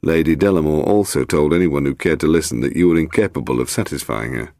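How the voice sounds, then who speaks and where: A sardonic British policeman, voiced by a male audiobook reader, low and gravelly. He is brusque and coolly authoritative, with a dry edge to his words. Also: quick pace, a little mellow, no echo. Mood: cool accusation.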